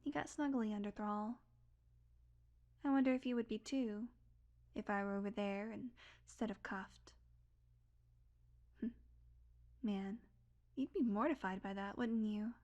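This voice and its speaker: A woman's voice: medium pitch, measured pace, long pauses, a little mellow, dull audio, natural voice. A woman playing a sardonic, secretly tender long-lived vampire in an intimate audio roleplay, talking in a casual, wry, confiding way. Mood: nostalgic, confiding. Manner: casual, confiding, wry, swearing, drifting into whispers.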